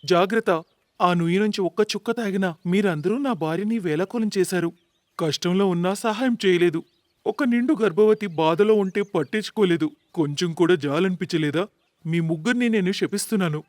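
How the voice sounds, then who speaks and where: A man's voice: high voice, moderate pace, darkish tone, dry recording, natural voice. A man voicing a stern, wrathful sage in a moral-story cartoon, solemn and commanding. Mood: angry, cursing. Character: stern, wrathful male sage laying a curse.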